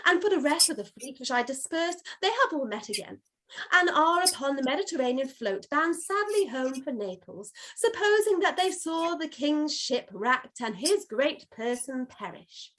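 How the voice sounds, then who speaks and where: A woman's voice: high voice, big pitch swings, normal speed, fairly bright tone, low-quality recording. A woman playing an airy servant spirit in a Shakespeare reading, declaiming verse in an eager, deferential, theatrical way. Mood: eager, proud.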